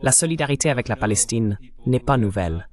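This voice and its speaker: A man giving measured, didactic political commentary as a dubbed interview guest, stressing his key points. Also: medium pitch, animated.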